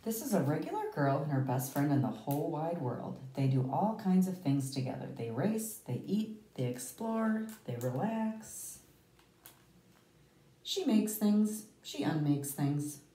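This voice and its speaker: A low-pitched woman reads a children's picture book aloud in a very expressive, swooping way. She leans on key words and pauses for effect. The recording is roomy, with the microphone set well back from her. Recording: roomy, echoey, distant microphone.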